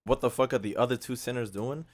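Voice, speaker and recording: A man streaming casually to his chat, profane and slangy, thinking out loud as he talks. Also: mid-range voice, fairly even intonation, quick pace. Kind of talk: casual live commentary to chat.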